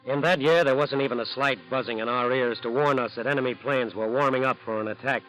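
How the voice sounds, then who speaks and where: An American man narrating a 1940s radio crime drama in a grave, dramatic, deliberate manner. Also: mid-range voice, a bit bright, natural voice, poor audio. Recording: old 1940s radio broadcast.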